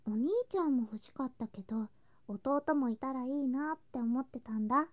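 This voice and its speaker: A woman voicing a sweet, affectionate younger girl cousin in a Japanese ASMR situation drama, in a cutesy, clingy manner with soft whispery touches. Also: sits high, normal speed, lo-fi sound, natural voice. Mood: doting.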